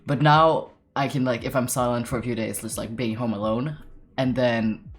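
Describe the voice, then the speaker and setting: Young man, late teens, deep voice, casual livestream chatter, rambling, laughing, casual swearing. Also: fairly even intonation, moderate pace. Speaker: artist streamer. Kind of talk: casual livestream chat while drawing.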